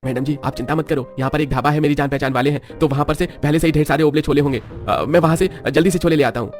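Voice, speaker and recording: A man's voice: on the high side, fast talker, pitched above the performer's own voice, close to an everyday voice. Man acting an eager waiter, music behind. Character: helpful, deferential male restaurant waiter.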